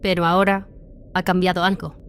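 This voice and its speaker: A woman's voice: fairly low pitch, little pitch movement, a little fast, slight background noise, lightly acted. A woman playing a devout, vigilant warrior nun in a sci-fi audio drama, terse and serious. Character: devout, vigilant battle sister, female warrior.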